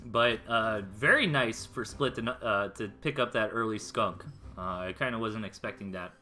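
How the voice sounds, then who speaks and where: American man, esports caster, very bright forceful voice, casual slangy commentary, conversational, faint guitar music behind. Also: a little high. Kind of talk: live game commentary, casual.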